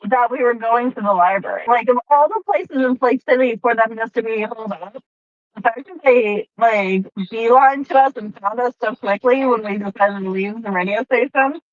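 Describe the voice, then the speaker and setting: Tinny, phone-like woman, higher-pitched. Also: normal speed, no room sound. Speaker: player in a tabletop roleplaying game. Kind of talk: casual in-game discussion. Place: inside a car. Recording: tinny phone-like sound from a car.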